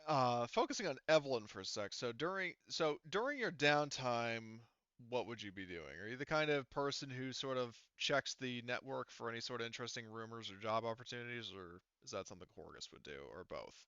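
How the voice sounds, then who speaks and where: A man speaking casually as game master at an online tabletop game, thinking aloud with halting delivery. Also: medium pitch, lively intonation, a bit bright, clear, resonant tone, no room sound, dull audio.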